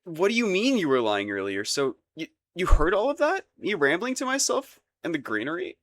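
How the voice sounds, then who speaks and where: A man's voice: fairly high pitch, sing-song, moderate pace, a bit bright. A young man voicing a shy, awkward crown prince in a romantic audio roleplay, stumbling over his words out of embarrassment. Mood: embarrassed. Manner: flustered and self-deprecating, stumbling over words. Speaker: ASMR voice actor.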